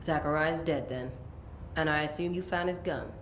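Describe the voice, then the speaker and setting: A woman playing a brisk, commanding operations chief. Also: sits low, reverberant, slight background noise, natural voice. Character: tough no-nonsense female operations chief. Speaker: head of operations.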